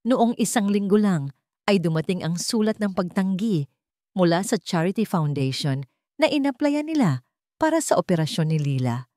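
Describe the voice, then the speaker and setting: A woman's voice: medium pitch, lively intonation, normal speed, warm tone, no room sound, studio quality. A woman with a Filipino accent narrating a scripted story in a dramatic storytelling style.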